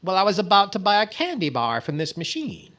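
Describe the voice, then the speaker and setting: A man's voice: high-pitched, huge pitch swings, pushed and bright, heavily acted. A man playing a vain, thrifty, flustered middle-aged comedian who stammers indignantly in old-time radio comedy style. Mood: puzzled. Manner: stammering, indignant, drawn-out delivery.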